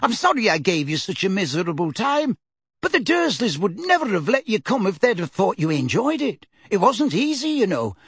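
A narrator voicing a frantic, fussy elderly woman neighbor who scolds and frets in a high, wavering voice. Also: big pitch swings, normal speed, breathy edge, no room sound, clean studio sound. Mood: fretful. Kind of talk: audiobook character voice.

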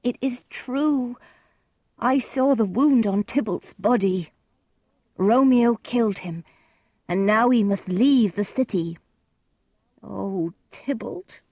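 A woman's voice: a little high, slow, long pauses, mellow, no room sound, voice pushed up. A devoted nursemaid in a storybook tragedy, voiced by a woman narrator. She laments in a raised, mournful voice with heavy emphasis, recorded dry. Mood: grieving. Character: grieving, devoted female nurse.

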